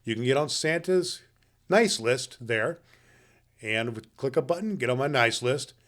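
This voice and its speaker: A man playing a jolly, grandfatherly old Santa Claus, hosting a podcast for children in a folksy, halting manner. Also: medium pitch, lively intonation, a bit bright. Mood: folksy, friendly. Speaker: podcast host. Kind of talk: story reading and chat to children.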